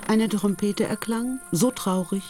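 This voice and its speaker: A man playing the measured storyteller of a knightly tale, narrating in literary style for a radio play. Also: sits high, a little mellow, soft background music.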